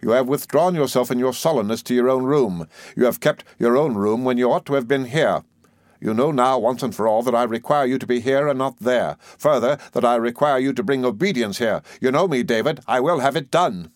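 A man's voice: mid-range voice, fairly quick, no echo, studio quality, voice pushed up, natural voice. A man voicing a cold, stern, domineering stepfather in a Victorian storybook, speaking in a formal, grave and commanding way. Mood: fierce, commanding. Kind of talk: audiobook character in a Victorian novel.

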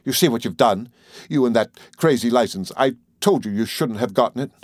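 A man voicing a furious, imperious young woman who scolds with sharp, scornful indignation in a storybook reading. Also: mid-range voice, brisk, no echo, studio quality, natural voice. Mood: furious, accusing.

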